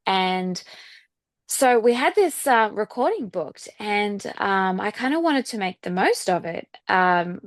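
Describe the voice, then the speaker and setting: A woman with an Australian accent chatting casually as an interview guest, often softening points with filler phrases like "kind of". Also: mid-range voice, sing-song, slow pace, no room sound. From Sydney, Australia. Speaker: guest singer-songwriter.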